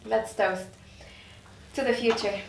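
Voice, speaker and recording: A woman's voice: medium pitch, steady tone, slow, creaky. A woman playing a proud, sarcastic career woman who is easily offended, in a drama script table read. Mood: polite, guarded. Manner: sarcastic, incredulous. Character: proud, indignant career woman newly arrived in hell. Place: echoey room, recorded from off. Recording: roomy, distant mic, faint noise.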